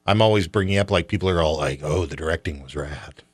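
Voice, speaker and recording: A man's voice: a bass voice, quick pace, husky, no room sound. A man hosting a radio show in a casual, colloquial, opinionated and joking conversational style.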